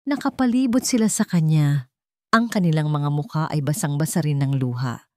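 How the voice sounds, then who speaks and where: A woman with a Filipino accent narrates a scripted story in a measured, dramatic way, in short phrases. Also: low-pitched, no room sound, clean studio sound.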